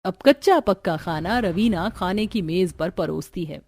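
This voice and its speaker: A woman's voice: fairly low pitch, lively intonation, measured pace, a little mellow. A woman reading scripted storytelling narration for a cartoon. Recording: music bed behind the voice. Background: background music.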